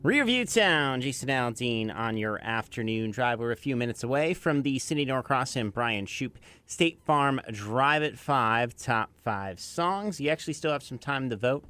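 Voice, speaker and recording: A man's voice: mid-range voice, animated, normal speed, few pauses, sharp tone, clear, resonant tone, soft background music. An American man presenting as an afternoon radio DJ, talking listeners through songs, breaks and countdowns in a conversational style.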